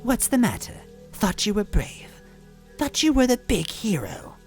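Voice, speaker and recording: A woman's voice: fairly high pitch, big pitch swings, unhurried, a little mellow, soft background music, pitched above the performer's own voice. A woman voicing a hideous, gleefully cruel bean witch in a storybook reading, taunting with a theatrical sneer.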